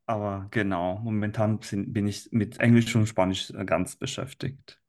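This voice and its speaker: A man's voice: fairly low pitch, fairly even intonation, normal speed, a little mellow. A man from Italy, a native Italian speaker with an Italian accent, chatting as a podcast guest and often searching for his words. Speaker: language teacher, interview guest. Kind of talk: casual podcast conversation.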